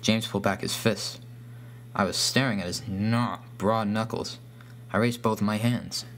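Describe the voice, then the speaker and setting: A man's voice: on the low side, steady tone, measured pace, a bit bright, a little hiss or hum. A man reading a scripted first-person horror story as its narrator.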